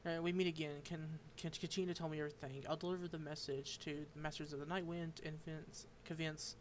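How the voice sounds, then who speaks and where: A man streaming a game, reading its dialogue aloud and commenting, halting and stumbling over unfamiliar names. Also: fairly high pitch, little pitch movement, a little hiss or hum.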